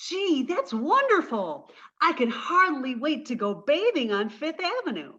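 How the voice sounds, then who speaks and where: A bright, high-pitched, dim but sweet young blonde, played by a woman, gushing with naive earnestness. It is old-time radio comedy acting, caught in slightly dull sound. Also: wildly swooping pitch, measured pace, full character voice. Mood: wide-eyed.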